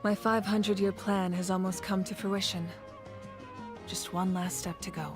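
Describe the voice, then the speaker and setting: A woman's voice: medium pitch, level tone, normal speed, music in the background, natural voice. Woman acting a weary, resolute female war leader. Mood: calm resolve. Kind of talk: video game voice acting.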